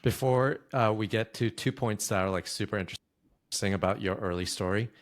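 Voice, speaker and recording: A man's voice: lowish voice, moderate pace. A man hosting a podcast, reading a scripted intro and then asking loose, friendly questions with fillers. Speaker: podcast host.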